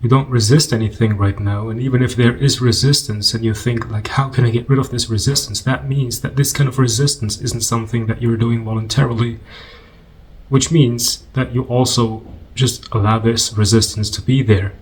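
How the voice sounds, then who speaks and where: Man guiding a meditation, mid-pitched voice. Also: steady tone, low-quality recording. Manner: unhurried, informal, gently instructing with pauses. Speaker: meditation guide. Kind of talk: guided meditation, conversational spiritual talk.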